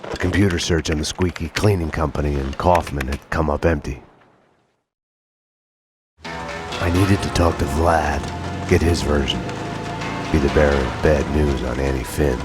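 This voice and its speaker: An actor playing a haunted, world-weary police detective, narrating a noir game in a brooding deadpan. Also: male voice, very deep voice, a little slow, long pauses. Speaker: narrator and lead detective character. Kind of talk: hard-boiled noir scripted narration. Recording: dramatic music under the voice.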